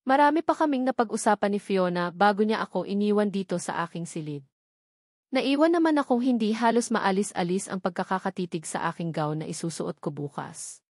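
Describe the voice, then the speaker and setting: A woman's voice: mid-range voice, animated, fairly quick, a little mellow, dry recording, studio quality. A woman reading a scripted first-person story as an audiobook narrator.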